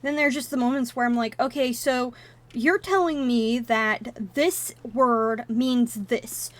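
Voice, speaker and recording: Slow-talking woman streamer, native Russian. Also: a little high. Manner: thinking aloud, wandering off on tangents. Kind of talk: casual live-stream gaming commentary.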